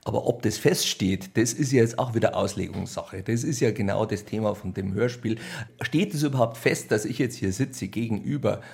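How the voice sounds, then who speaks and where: A man with a low voice and very heavy creak speaks with a Bavarian accent. He answers interview questions conversationally, in a wry and colloquial manner. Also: moderate pace, a little mellow. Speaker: radio play author and director being interviewed.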